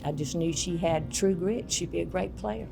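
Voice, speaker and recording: A woman's voice: fairly low pitch, moderate pace, creaky, over music. A woman who coached basketball, speaking in an interview with emphatic, repeated points. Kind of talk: interview recollection.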